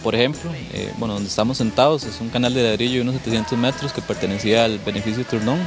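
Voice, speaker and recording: A man's voice: medium pitch, fast talker, music in the background. A man explaining local history informally in an interview.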